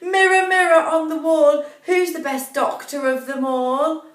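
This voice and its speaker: A woman's voice: sits high, steady tone, a little slow, voice pushed up. A woman voicing a caring, earnest young hairdresser in a storybook tale. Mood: pleading. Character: kind, brisk young woman hairdresser, loyal friend. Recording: echoey room, distant mic.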